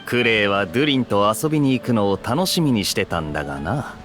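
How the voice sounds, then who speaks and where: Considerate anime game man, earnest and protective, low voice, very fast delivery. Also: big pitch swings, fairly bright tone, soft background music. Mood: concerned. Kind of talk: anime game voice acting in Japanese.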